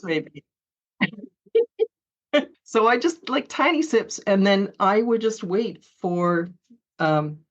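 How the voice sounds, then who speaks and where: A woman author being interviewed, talking in a chatty way with frequent laughs and hesitant fillers. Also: fairly low pitch. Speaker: author guest. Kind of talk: conversational interview.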